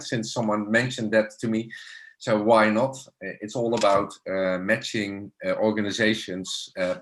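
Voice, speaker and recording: A man who runs his own business introducing himself in an online workshop, speaking deliberately with many pauses. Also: on the low side. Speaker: business owner.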